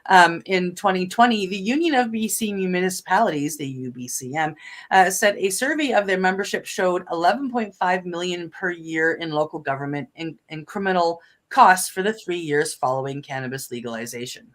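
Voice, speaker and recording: A woman's voice: lowish voice, expressive, normal speed. A woman from Canada co-hosting a news show, reading stories and chatting with many fillers and restarts. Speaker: co-host reading cannabis news. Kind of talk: casual news reading and chat.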